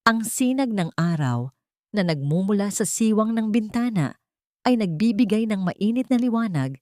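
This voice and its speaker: A woman with a Filipino accent narrating a dramatic scripted story in a measured, sentimental way. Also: medium pitch, animated, a little mellow, no echo, studio quality.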